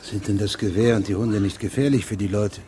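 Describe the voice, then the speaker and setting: A man's voice: lowish voice, steady tone, a little fast, mellow, natural voice. Over a noisy background, a man acts as an investigating judge in a radio play. He puts his questions formally and precisely, with methodical persistence and careful, official phrasing. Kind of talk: German radio drama acting.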